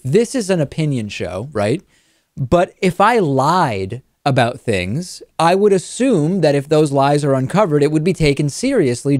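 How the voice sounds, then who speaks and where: A man's voice: medium pitch, darkish tone, dry recording, clean studio sound. A man hosting a talk show, giving conversational political commentary.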